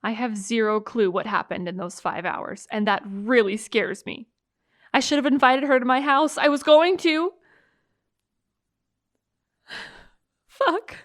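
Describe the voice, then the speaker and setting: An actress playing a tender, shaken high school girl, halting and emotional in a naturalistic audio drama. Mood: guilty, distraught. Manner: halting, emotional, breaking into whispers.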